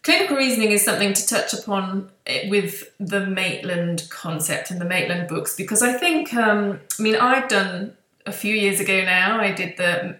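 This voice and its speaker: A woman's voice: mid-range voice, fairly bright tone. A woman interviewing in a casual, agreeable, rambling way, rephrasing as she goes. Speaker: interviewer, physiotherapist. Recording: echoey room sound.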